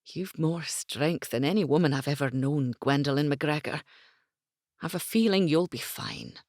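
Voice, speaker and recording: A woman voicing a brooding Highland warrior, speaking in a grave, hushed way. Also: a little slow, dry recording, clean studio sound. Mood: sorrowful.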